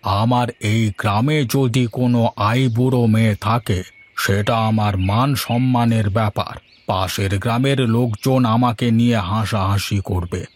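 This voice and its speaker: A man's voice: on the low side, steady tone, a little slow, slight background noise, natural voice. A man voicing a paternal, bossy older village landlord in a moral story cartoon, with an authoritative manner. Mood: insistent.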